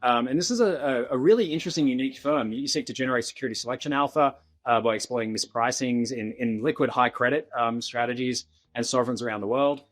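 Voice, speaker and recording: A man's voice: mid-range voice, fast, few pauses, soft background music. Australian man hosting a conversational interview. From Sydney, Australia. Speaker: podcast host.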